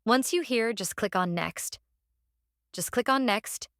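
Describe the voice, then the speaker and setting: Woman giving software tutorial, dry close sound. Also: a little high, slow pace, no echo. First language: non-native English speaker. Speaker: tutorial host. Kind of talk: step-by-step software tutorial, semi-improvised.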